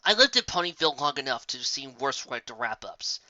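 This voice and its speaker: A grumpy female pegasus from a cartoon fan story, as a narrator plays her. She is blunt and exasperated, with a bright, tense edge on a dull recording. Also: medium pitch, sing-song, brisk, no echo. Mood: grumbling. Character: grumpy, temperamental female pegasus weather worker.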